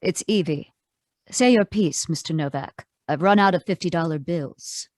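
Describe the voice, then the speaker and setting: A woman's voice: on the low side, a little mellow, no room sound, natural voice. Woman acting a cool, dry-recorded femme fatale. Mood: cool, taunting. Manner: unhurried, taunting wisecracks. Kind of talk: 1940s radio noir acting.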